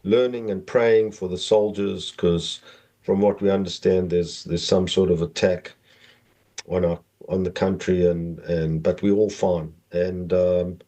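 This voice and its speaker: A middle-aged man with a South African accent, telling personal stories conversationally as a podcast guest in a halting, meandering way. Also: deep voice, flat delivery, mellow.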